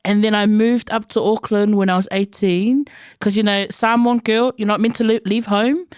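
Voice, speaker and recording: A woman's voice: mid-range voice, steady tone, normal speed, a little mellow, smooth, no echo, clean studio sound. A young woman from New Zealand with a New Zealand accent co-hosting a podcast, chatting in a playful, upbeat way. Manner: playful, upbeat, laughs between lines. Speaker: podcast co-host. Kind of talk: casual podcast chat.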